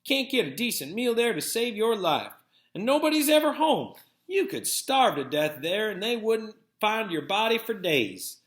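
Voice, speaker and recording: A man's voice: high-pitched, very animated, normal speed, a bit bright, roomy sound, pitched above the performer's own voice. A man voicing a sullen, stubborn, sarcastic country boy, complaining, in a storybook reading. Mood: pleading, indignant.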